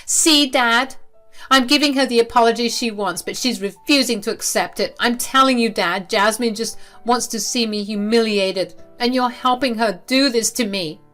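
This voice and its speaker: A woman's voice: on the high side, normal speed, pushed and bright, faint music behind, pitched above the performer's own voice. A woman voicing a spoiled middle-aged mother who whines and begs desperately. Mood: whining. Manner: whiny, desperate begging.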